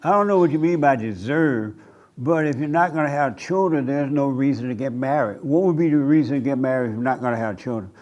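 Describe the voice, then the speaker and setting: A man's voice: medium pitch, fairly quick, darkish tone, highs cut off. A man leading a church discussion, blunt and questioning, answering congregants with short pointed replies.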